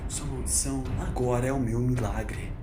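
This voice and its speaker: A man's voice: mid-range voice, fairly even intonation, normal speed, natural voice. A man voicing a ruthless, maniacal immortal villain in an audio drama, with an imperious, menacing, declamatory delivery. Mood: scornful, commanding. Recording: echoing voice over music.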